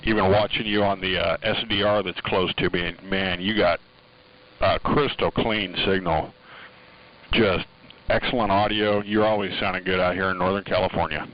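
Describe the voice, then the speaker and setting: A man's voice: low-pitched, fairly even intonation, moderate pace. A man talking casually over two-way radio, easygoing and agreeable, using operator lingo. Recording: dull band-limited radio transmission.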